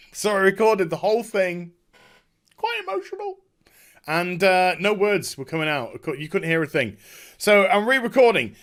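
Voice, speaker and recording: A man talking casually and off the cuff as a video host, emphatic and excitable, often halting and repeating his words. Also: high-pitched. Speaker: video host giving a game story discussion. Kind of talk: unscripted casual rambling commentary.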